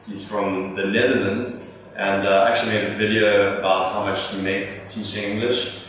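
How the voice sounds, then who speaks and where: A man's voice: on the low side, level tone, normal speed, a bit bright. A young man hosting a vlog, casually answering viewer questions and rambling a little, with fillers and restarts. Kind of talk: casual talk to camera. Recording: echoey room from far off, faint noise.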